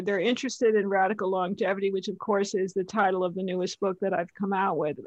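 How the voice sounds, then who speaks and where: A woman's voice: mid-range voice, no echo, dull audio. A woman hosting a nutrition podcast, introducing her guest and asking questions in an enthusiastic, effusive way. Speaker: podcast host. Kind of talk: podcast interview.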